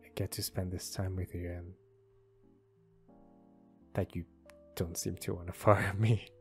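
A man acts out a bashful young male employee with a crush in an ASMR roleplay. The voice is low and very unhurried, soft and hesitant, full of apology. Guitar music plays behind it. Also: flat delivery. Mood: earnest, shy. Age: young adult. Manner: hesitant, soft-spoken, halting. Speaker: voice actor. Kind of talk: ASMR roleplay, intimate one-sided conversation.

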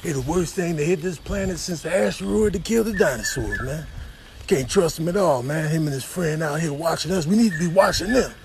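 A man's voice: a little high, brisk, creaky, background noise. A man voicing a suspicious, grumbling gorilla father, opinionated and slangy, in a comic animal voiceover. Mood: distrustful.

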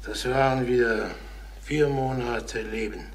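An actor playing a troubled middle-aged ex-salesman turned burglar in a crime radio drama, halting, confessional and repeating his words. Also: mid-range voice, steady tone, close to an everyday voice. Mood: brooding, confessional. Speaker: former travelling salesman.

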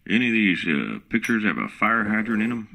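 An actor playing a nosy, pushy smart-home speaker who talks with a folksy country drawl in a comedy radio play. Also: male voice, fairly low pitch, big pitch swings, fairly quick, creaky, very noisy.

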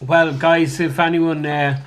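Effusive man, slightly higher voice. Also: flat delivery, slow. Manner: effusive, enthusiastic, full of praise. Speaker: guest, poker player. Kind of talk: casual chat. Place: kitchen of a flat, indoors.

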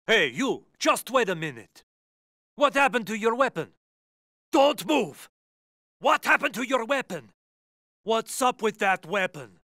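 Sharp, high-voiced suspicious guard. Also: male voice, big pitch swings, unhurried, pauses often, dry recording, studio quality, exaggerated voice. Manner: challenging, questioning. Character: suspicious, alert enemy soldier guard on patrol. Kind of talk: video game soldier barks.

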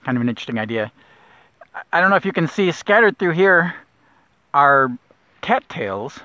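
A middle-aged man guiding a kayak nature trip, explaining plants in a relaxed way with small restarts, recorded outdoors on a lake. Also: on the high side, normal speed, dry recording. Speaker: naturalist guide. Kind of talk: outdoor nature walk narration. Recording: dull lo-fi outdoor audio.

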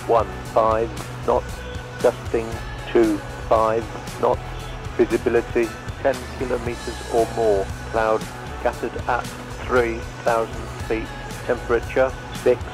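A man's voice: mid-range voice, low-quality recording. A man reading a recorded airport weather broadcast phrase by phrase over aviation radio. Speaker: airport weather information announcer.

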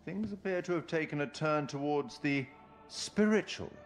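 An actor playing an enigmatic, sardonic curator of grim stories, speaking formally and wryly in a theatrical monologue. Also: male voice, on the high side, animated, faint music behind. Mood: wry, probing. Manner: formal, wry, deliberate. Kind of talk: theatrical scripted monologue to the viewer.

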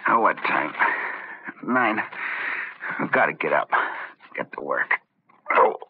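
An actor playing an irritable, hungover, reckless man with a halting, blustery manner in an old-time radio drama. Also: very deep voice, very animated, husky, heavily acted. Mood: groggy. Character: irritable, hungover, reckless male office worker. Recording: tinny, hissy old radio recording.

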